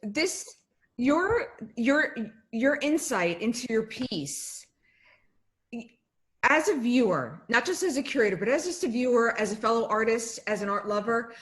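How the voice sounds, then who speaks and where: A woman curator with a fairly high voice talks over a video call. She responds to the artist's work in an effusive, enthusiastic way. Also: animated, a little slow, a bit bright. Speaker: curator, interviewer. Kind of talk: interview talk.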